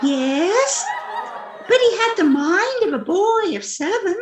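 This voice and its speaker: A ditzy, dreamy sitcom wife in a very high, girlish voice. She gushes innocently and takes her time, in old-time radio comedy style with a roomy echo. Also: very animated, slow, noisy background, heavily acted. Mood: innocent gushing. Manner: naive, gushing, innocent delivery of absurd remarks. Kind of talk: re-enacted 1940s radio comedy acting. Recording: roomy, echoing voice recording.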